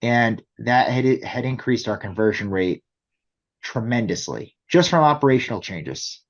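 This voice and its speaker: A man's voice: mid-range voice, lively intonation, unhurried, dull audio. A man explaining things conversationally as a business interview guest, often checking in with rhetorical questions. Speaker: company executive guest.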